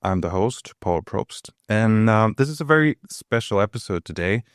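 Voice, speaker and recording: Male podcast interviewer, lower register, casual and slightly halting, very dry recording. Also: lively intonation, clean tone, no echo. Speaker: podcast host.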